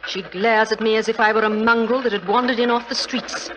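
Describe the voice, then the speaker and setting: An actress playing a frank, wealthy middle-aged society widow, imperious and chatty, in 1940s radio drama style. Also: female voice, on the high side, steady tone, brisk, natural voice. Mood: candid, assured. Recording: noisy old 1940s radio recording.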